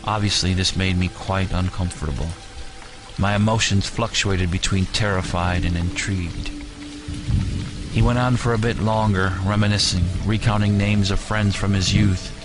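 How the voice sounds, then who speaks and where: A man giving grave, deliberate, dramatic first-person narration for an audio story. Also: low-pitched. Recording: music bed with rain sounds.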